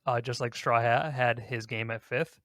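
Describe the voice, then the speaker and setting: A man's voice: mid-range voice, fairly even intonation, moderate pace, fairly bright tone, dry recording. A man in casual podcast conversation, recorded close and dry. He rambles a little as he talks through his thoughts, with a mid-range voice. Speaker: podcast host. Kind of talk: casual gaming podcast chat.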